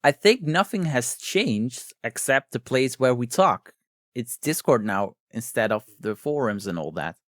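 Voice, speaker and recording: A man's voice: mid-range voice, animated, measured pace, dry recording. Male podcast host, casual interview, appreciative, mid-range voice, dry room-free sound.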